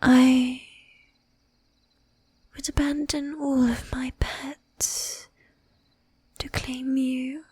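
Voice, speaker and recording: ASMR roleplay: actress as possessive, courtly female vampire, high whispery voice, unhurried, very even melody. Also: dry recording, full character voice. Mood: earnest, possessive. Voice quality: breathy, whispery. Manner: formal, archaic diction, slow and deliberate. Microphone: close microphone, dry.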